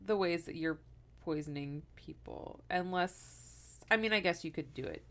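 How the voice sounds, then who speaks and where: A woman's voice: fairly low pitch, measured pace. A woman in her thirties telling a true-crime story to her co-host in casual podcast chat, laughing often and wandering into asides. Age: 30s.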